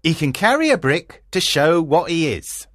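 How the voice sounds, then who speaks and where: A man's voice: on the high side, sing-song, normal speed, fairly bright tone, no room sound, studio quality, pitched above the performer's own voice. A man voicing a bossy, self-important amateur actor in a storybook, eager and emphatic. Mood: confident.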